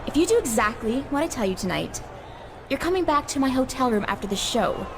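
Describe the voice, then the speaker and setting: High-voiced, scheming seductress. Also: female voice, very animated, a little fast. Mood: seductive coaxing. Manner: coaxing, sugary, impatient when crossed. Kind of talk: scripted video game cutscene acting. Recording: clear ambient noise behind voice.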